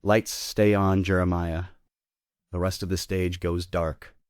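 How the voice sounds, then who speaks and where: A man narrates a script as the reader of stage directions. His voice is low, and the sound is dry, with hardly any room in it. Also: level tone, slow, clean tone, no room sound.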